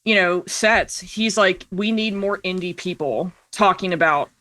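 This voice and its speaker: A woman's voice: lowish voice, measured pace, sharp tone. A woman podcast guest telling stories in casual, informal conversation, peppered with "like" and "you know". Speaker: podcast guest, indie producer. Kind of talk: casual chat, storytelling.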